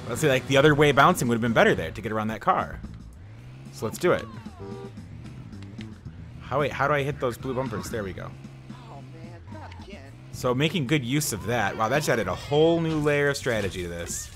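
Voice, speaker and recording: A man giving casual, excitable let's-play commentary while he drives in a game, thinking aloud. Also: medium pitch, fairly quick, long pauses. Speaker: gaming YouTuber. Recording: game music behind.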